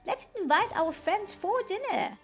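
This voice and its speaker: A woman voicing a big, gleeful, hospitable witch with a booming, emphatic delivery in a storybook reading. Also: lively intonation, a little slow, soft background music, full character voice.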